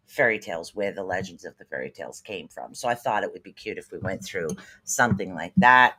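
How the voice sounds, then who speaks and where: A man with a Boston-sounding East Coast American accent chats on a livestream and gives spirit readings. He is upbeat and rambling, loops back over his own words and fills pauses with "um" and "uh". His voice sits at an ordinary male pitch. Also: bright, forceful tone. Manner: rambling, upbeat, heavy on fillers and repeats. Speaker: spirit reader and livestream host. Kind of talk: casual livestream chat with psychic readings.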